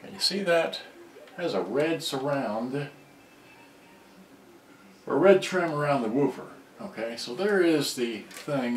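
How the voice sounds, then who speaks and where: A middle-aged man thinking aloud in a halting, rambling way during a casual live repair demonstration. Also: medium pitch. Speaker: hobbyist electronics repairer on a livestream. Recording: distant mic, echoey room, background noise.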